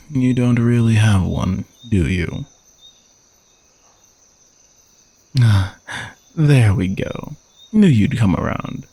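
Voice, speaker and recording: A man's voice: mid-range voice, a little mellow, breathy edge, heavily acted. A man playing an arrogant, sardonic knight who is a reluctant royal bodyguard, teasing and condescending in a romantic audio roleplay. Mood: taunting, playful. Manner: teasing, dry, exasperated sighs, condescending.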